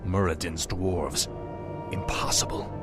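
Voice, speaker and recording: An actor playing a fallen prince turned death knight in a video game, cold, curt, commanding and scornful. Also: male voice, low voice, steady tone, slow. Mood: impatient. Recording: voice under loud game music.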